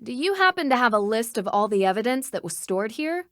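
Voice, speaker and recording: An actress playing a curious, polite young amateur detective in a mystery game, composed and articulate. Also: female voice, a little high, sing-song, a little fast, a bit bright, no room sound, clean studio sound. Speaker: voice actor. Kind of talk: scripted mystery game dialogue.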